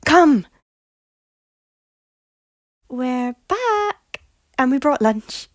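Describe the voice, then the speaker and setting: A woman's voice: sits high, very animated, very slow, no echo, studio quality, heavily acted. A woman voicing a widowed Scottish queen with a guarded, secretive manner. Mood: urgent. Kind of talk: fan audio drama acting.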